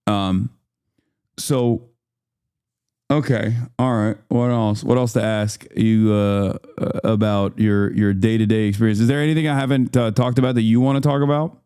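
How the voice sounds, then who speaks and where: A man hosting a casual interview, talking in a relaxed, slangy way with lots of fillers. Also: fairly low pitch, normal speed, clean tone. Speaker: host. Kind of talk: casual interview over a call.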